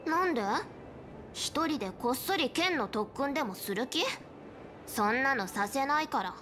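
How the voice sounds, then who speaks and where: A cool, competitive young swordswoman from a video game. She is high in pitch, terse and challenging, with faint noise behind her. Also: expressive, normal speed, fairly bright tone. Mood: competitive, suspicious.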